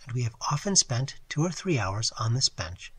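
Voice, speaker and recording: A man voicing a distressed young lady in a read-aloud story, speaking in a low, beseeching murmur. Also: very animated, normal speed, fairly bright tone, slightly rough. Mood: earnest. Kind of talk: audiobook character reading.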